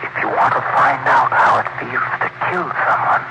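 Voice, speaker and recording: An actor playing a dead murderer's ghost, quietly tormenting and persuasive, in a 1940s radio thriller. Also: male voice, mid-range voice, close to an everyday voice. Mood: insinuating. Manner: slow, deliberate, persuasive. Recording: very noisy vintage 1940s radio broadcast.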